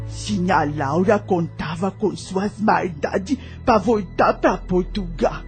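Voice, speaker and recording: A Brazilian woman playing a wise, sorrowful elderly enslaved nanny in an audio drama, speaking slowly in folksy, old-fashioned words. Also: on the low side, darkish tone.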